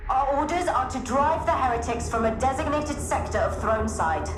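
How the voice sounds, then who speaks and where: A woman's voice: sits high, lively intonation, moderate pace. A woman playing a strict, self-important mission officer in a video game, formal and authoritative. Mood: stern. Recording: echoey, loud music behind.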